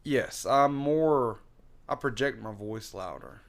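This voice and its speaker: A man talking as an interview guest in a relaxed, rambling way, with lots of fillers and frequent laughs. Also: medium pitch, slow, vocal fry. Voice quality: loud singing voice. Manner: laughs often. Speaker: interview guest, singer and guitarist. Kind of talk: casual interview chat.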